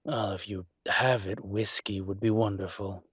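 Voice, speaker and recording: Man playing a slow, low, suave mafia boss. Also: steady tone, fairly bright tone, slight background noise. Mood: businesslike, curious. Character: suave, teasing male dragon mafia boss. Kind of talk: scripted romantic audio roleplay.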